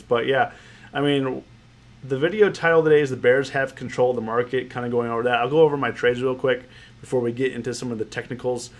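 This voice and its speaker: Man, nasal, stuffy with a cold, rambling livestream chat, repeats himself. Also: medium pitch. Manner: rambling, repeats phrases, greets viewers by name. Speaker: options trading livestream host. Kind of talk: casual livestream commentary, reading chat.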